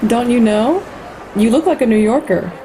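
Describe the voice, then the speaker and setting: A woman's voice: fairly high pitch, moderate pace, background noise, natural voice. An actress playing a wary, brusque but curious city woman in radio play dialogue. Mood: brusque, dismissive. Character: skeptical, brusque female New Yorker, wary but curious.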